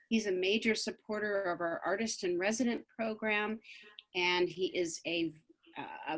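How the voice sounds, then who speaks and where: A woman with a lowish voice welcomes everyone to an online event as its director. She is friendly and speaks with many fillers. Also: a bit bright, low-quality recording. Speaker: artistic director, host. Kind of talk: welcome introduction over video call. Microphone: video call.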